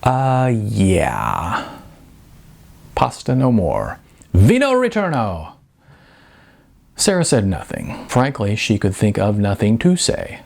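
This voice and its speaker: A man narrating a novel in an expressive, ironic storytelling manner. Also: fairly low pitch, unhurried, gravelly. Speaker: audiobook narrator.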